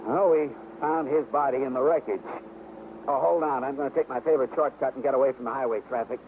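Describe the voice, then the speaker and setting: A man's voice: medium pitch, quick pace, natural voice. Folksy American rancher, acted by a man, thin noisy radio. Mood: earnest. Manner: folksy, chatty storytelling. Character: friendly, plain-spoken American ranch owner, male. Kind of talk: 1950s radio drama acting. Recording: noisy, phone-like old radio recording.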